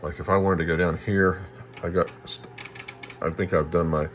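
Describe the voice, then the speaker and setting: A man giving a casual hands-on demonstration as a hobbyist, halting and thinking aloud as he works. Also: sits low, level tone. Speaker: radio hobbyist demonstrating equipment. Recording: clear radio static and hiss behind. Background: shortwave radio noise.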